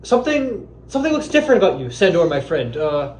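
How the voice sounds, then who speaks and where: A man playing a puzzled, fussy but genial psychoanalyst, speaking haltingly in an amateur comedy film. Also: fairly high pitch, sing-song, darkish tone. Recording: echoey room with faint noise.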